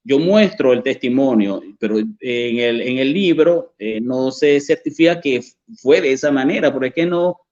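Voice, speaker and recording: A man's voice: mid-range voice, moderate pace, hardly any pauses, darkish tone, muffled recording. A man with a Venezuelan accent, an author answering interview questions in a hesitant way with many fillers and restarts. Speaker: author and journalist, interview guest.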